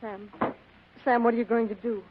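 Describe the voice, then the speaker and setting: A woman's voice: a little high, flat delivery, normal speed, noisy background, lightly acted. Woman acting an imploring radio femme fatale. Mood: anxious. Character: scheming, pleading female liar, a femme fatale. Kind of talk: 1940s radio drama acting. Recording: narrow-band old radio recording.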